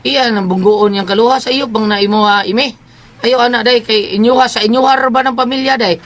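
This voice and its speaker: A woman's voice: on the high side, steady tone, brisk. A woman with a Cebuano accent in a casual advice chat, insistent and repeating her points. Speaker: participant in advice discussion.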